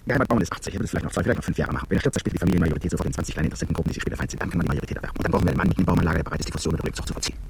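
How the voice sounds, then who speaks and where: A low-voiced businessman in a radio drama, talking very fast. He is a smooth schemer, persuasive and condescending, on a noisy recording. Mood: businesslike, persuasive. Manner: brisk, patronising, persuasive.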